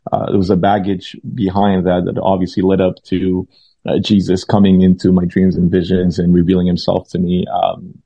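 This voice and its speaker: A man in his thirties from Lebanon speaks low and gravelly, keeping his pitch fairly flat. He tells his story hesitantly, stopping often for fillers and starting his sentences over. The audio is dull and dry. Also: no echo. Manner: hesitant, many fillers and restarts. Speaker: pastor and guest. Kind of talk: testimony interview.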